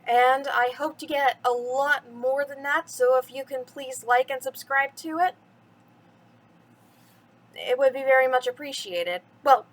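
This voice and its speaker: A woman's voice: high voice, unhurried, low-quality recording. A woman hosting a bedtime story reading for children, chatty and addressing the young listeners directly.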